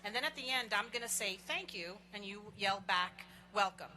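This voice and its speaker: A woman leading a stadium crowd in chants at a graduation, energetic and playful. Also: mid-range voice, normal speed, rough recording. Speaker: ceremony speaker leading the crowd. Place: outdoor stadium. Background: crowd cheering.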